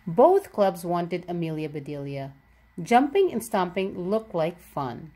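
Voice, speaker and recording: A woman's voice: fairly low pitch, very animated, a little slow, dark tone. A woman reading a children's picture book aloud, expressively and with careful enunciation for young listeners. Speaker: read-aloud storybook narrator.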